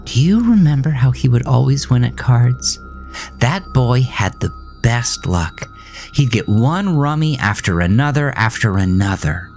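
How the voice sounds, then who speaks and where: A man voicing an uncanny dead mother in a horror audio drama, soft-spoken, sweetly nagging and wistful. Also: medium pitch, animated, a little slow, soft background music, voice pushed up. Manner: motherly, gently chiding.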